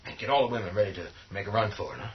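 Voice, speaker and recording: A man's voice: fairly low pitch, rapid-fire, vocal fry. An actor playing a commanding, hard-headed man in a radio horror drama, blunt and giving clipped, urgent orders. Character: commanding, hard-headed man leading zombie siege survivors. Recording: dull lo-fi sound.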